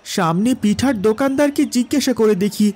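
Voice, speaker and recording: A man's voice: sits high, animated, fairly quick, a little mellow. A man voicing Raju's part in a cartoon, a poor, hardworking and polite village boy who sounds earnest and plaintive. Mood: hesitant, polite. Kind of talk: cartoon story dialogue.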